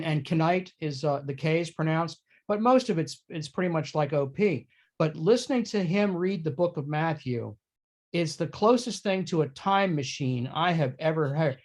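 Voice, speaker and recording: A man talking casually as a guest in a panel discussion about his craft. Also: fairly high pitch. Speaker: panel guest, accent-certified performer.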